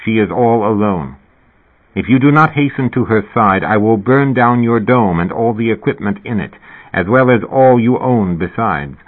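A man's voice: on the low side, lively intonation, normal speed. Man as a stern commanding god, phone-like sound. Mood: commanding, threatening. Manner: solemn, commanding. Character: commanding, stern male mountain deity. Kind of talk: audiobook character dialogue. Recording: narrow, phone-like band.

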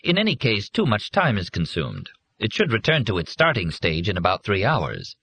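A man voicing a precise female supervising computer that speaks in a crisp, businesslike way. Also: lowish voice, huge pitch swings, normal speed, a bit bright, pitched above the performer's own voice, exaggerated voice.

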